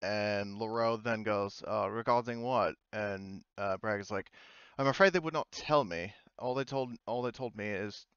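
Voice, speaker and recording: A man narrates a tabletop game as its game master. His voice is smooth and on the low side, with halting, informal phrasing. The sound is dry and a little dull, like online voice chat. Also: a bit bright, no room sound.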